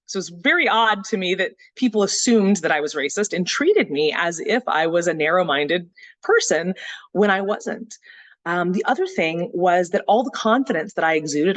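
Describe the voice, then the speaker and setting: A speaker from Texas telling a personal story in a motivational talk, earnest and reflective, often pausing on "you know" and "uh". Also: medium pitch, a bit bright, no echo. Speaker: summit speaker.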